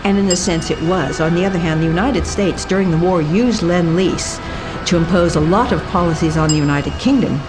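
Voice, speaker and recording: A woman's voice: fairly low pitch, brisk. A woman giving expert commentary in a documentary interview, speaking emphatically and repeating phrases for stress. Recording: music and vehicle noise over voice. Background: loud music, truck driving sounds.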